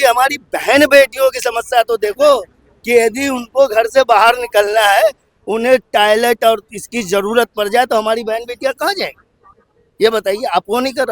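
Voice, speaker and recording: A man's voice: very high voice, a bit bright, no room sound. A man in a heated street argument, insistent and repetitive, firing rhetorical questions. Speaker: member of the public arguing in a street interview. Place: outdoors on a city street in Delhi.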